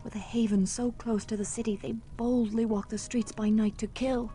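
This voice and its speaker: A woman playing a gentle young nun who speaks in soft, old-fashioned formal English. Clear game music runs underneath her lines. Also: a little high, little pitch movement, moderate pace, lightly acted. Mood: tender. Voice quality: archaic formal speech. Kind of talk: video game voice acting.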